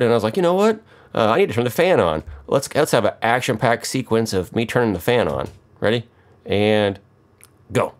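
A middle-aged American man giving casual, off-the-cuff how-to commentary in a wry, joking, self-mocking way. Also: on the low side. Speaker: electronics hobbyist, video host.

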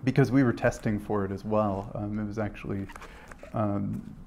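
Halting man explaining to a panel. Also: lowish voice, steady tone, creaky, a little mellow, a little hiss or hum. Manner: halting, many restarts and fillers. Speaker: physician panelist.

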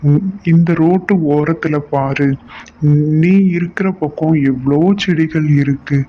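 A man as a slow-speaking, kindly household master. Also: fairly high pitch, steady tone, natural voice. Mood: kind, reassuring. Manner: reassuring, patient. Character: kind, reassuring male master of the house. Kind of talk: children's moral story acting. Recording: dull lo-fi sound, faint noise.

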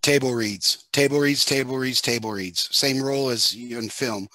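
A man in his fifties talking casually as a producer on a panel, halting and emphatic, on a headset in a video call from home. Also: medium pitch, fairly even intonation, bright, forceful tone, no echo. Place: living room at home, indoors.

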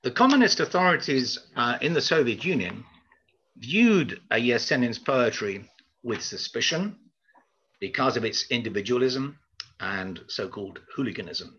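An older man giving a prepared lecture in a measured, formal manner, pausing and hesitating as he goes. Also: mid-range voice, big pitch swings, a bit bright, husky. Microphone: video call. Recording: slightly dull video call audio.

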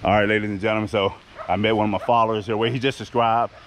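A middle-aged man with a Southern US accent, talking casually and excitedly to his fishing vlog viewers, often repeating himself. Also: lowish voice, rapid-fire. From Arkansas and Louisiana, United States. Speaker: fishing channel host. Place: outdoors by a neighborhood pond. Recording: noisy outdoor recording.

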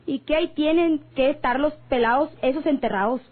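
An actress playing a distrustful, scolding ranch woman with a rural Mexican accent and a husky voice in a radio drama. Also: high voice, fairly quick, close to an everyday voice. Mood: worried, insistent. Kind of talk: old-time radio drama acting. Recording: muffled old radio recording.

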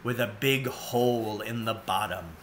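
A man's voice: lowish voice, measured pace, fairly bright tone, slight background noise. A man in his forties reading a children's story aloud as narrator. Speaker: storybook reader. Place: cluttered indoor room at home, seated.

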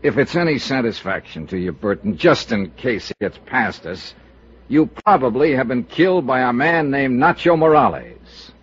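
Casting: tough, sardonic detective, medium pitch, animated delivery, vintage radio serial, dull sound. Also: male voice, husky. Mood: accusing, sardonic. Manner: brisk, sardonic, hard-boiled. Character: blunt, tough male investigator narrating his own case. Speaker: lead actor and narrator. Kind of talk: old-time radio detective drama.

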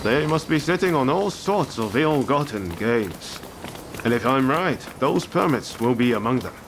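An actor playing an easygoing, idealistic wandering ronin in a video game, with a teasing, confident manner. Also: male voice, medium pitch, big pitch swings, unhurried, background noise. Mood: casual, sly. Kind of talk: video game dialogue acting.

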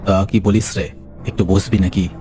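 A man voicing a gruff, bossy thief in a cartoon ghost story, with a brusque, commanding manner. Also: low-pitched, steady tone, moderate pace, warm tone, faint music behind, close to an everyday voice. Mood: weary, casual. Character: gruff, bossy male thief, the leader of a pair. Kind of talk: cartoon horror story acting.

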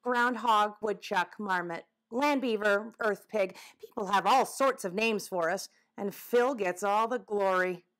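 A woman's voice: mid-range voice, a little slow, few pauses, fairly bright tone, lightly acted. A woman voicing a fussy, nervous male woodchuck in a children's storybook, with a folksy, formal and dramatic way of talking. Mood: chatty, grumbling.

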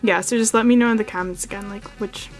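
A woman's voice: mid-range voice, steady tone, quick pace, soft background music. Chatty woman giving a casual tour. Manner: chatty, filler-heavy, frequent "like" and "um". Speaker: model horse channel host. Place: carpeted room indoors. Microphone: handheld camera filming close.

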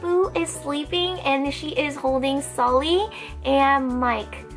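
A young woman chatting casually and excitably as a haul vlog host, slightly stuffed up from a cold. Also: high-pitched, slow pace, faint music behind. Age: young adult. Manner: bubbly, excitable. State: stuffy, getting over a cold. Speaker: host of a toy haul channel. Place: indoor room lined with plush toys.

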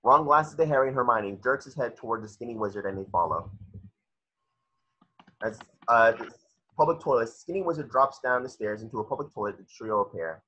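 A man's voice: mid-range voice, lively intonation, fairly quick, bright, forceful tone, slightly rough. A man reading scripted stage directions as narrator of an amateur table read. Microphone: video call. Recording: muffled video-call audio.

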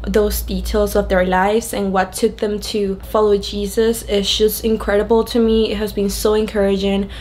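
A woman's voice: mid-range voice, level tone, moderate pace, hardly any pauses, a little mellow, a little hiss or hum. Candid young Venezuelan-accented woman. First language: Spanish. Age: young adult. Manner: candid, conversational. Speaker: video creator sharing a testimony. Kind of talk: personal testimony to camera.

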